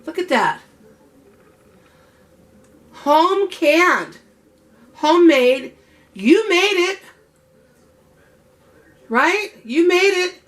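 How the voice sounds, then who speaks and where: A high-voiced, middle-aged woman talks slowly through a home canning tutorial. She has a homey, chatty way with viewers. Her voice is worn, and she keeps clearing her throat as she loses it. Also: big pitch swings, a bit bright. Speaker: home cook host.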